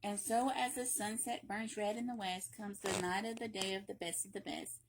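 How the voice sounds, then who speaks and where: A woman reading a children's picture book aloud in a playful, expressive way, with emphatic repetition and chatty asides. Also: medium pitch, normal speed, background noise. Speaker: library read-aloud reader.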